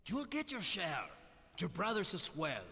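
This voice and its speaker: An actor playing a menacing, taunting villain in a video game cutscene. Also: male voice, high-pitched, huge pitch swings, a little slow, gravelly, bright, forceful tone, big-room sound, full character voice. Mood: coaxing. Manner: taunting, theatrical. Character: menacing male villain, coaxing, mocking, quick to rage.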